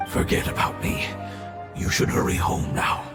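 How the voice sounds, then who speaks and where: An actor playing a dying ancient creature, speaking in a grave, measured and earnest way. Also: male voice, lightly acted. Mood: weary solemn. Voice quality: very deep gravelly voice. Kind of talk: video game cutscene dialogue. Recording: echoey with music behind.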